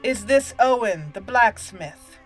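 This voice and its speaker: A woman's voice: fairly high pitch, expressive, slow pace. A woman hosting a let's play speaks casually and playfully into a close mic. She mutters to herself, amused, and at times reads out in character, with faint game music behind her. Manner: playful, amused, talks to self. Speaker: gaming channel host.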